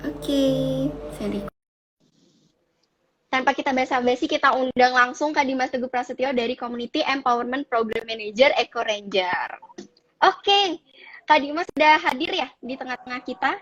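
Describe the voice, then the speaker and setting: A woman's voice: sits high, moderate pace, a bit bright, muffled recording. A woman hosting a live online talk, chatty and mixing formal greetings with casual phrasing. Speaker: host.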